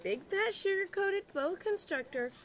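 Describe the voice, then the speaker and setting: A woman's voice: very high-pitched, animated, unhurried, fairly bright tone, exaggerated voice. A woman playing an anxious supermarket worker in an audio drama, urgent and worried. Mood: exasperated.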